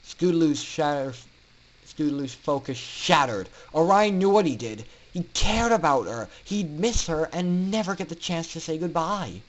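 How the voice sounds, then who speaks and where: A man's voice: fairly high pitch, expressive, moderate pace, dull audio. A man narrating a fan fiction story in a dramatic reading style.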